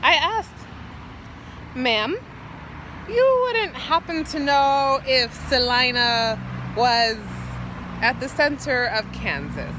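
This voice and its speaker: A woman poet performing an improvised spoken-word monologue outdoors, theatrically, in short halting phrases with repeated words. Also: high-pitched, lively intonation, background noise. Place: outdoors, standing or walking.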